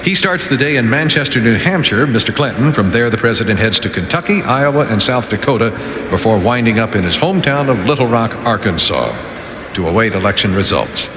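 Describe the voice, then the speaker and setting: A man's voice: mid-range voice, animated, a little fast, crowd noise. A man reading a scripted radio news bulletin. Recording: echoey, reverberant, faint background noise.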